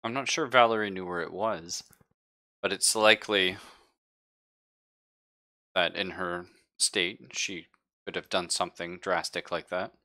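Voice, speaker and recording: Man playing a low, measured ship's android officer. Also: steady tone, sharp tone. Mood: measured, regretful.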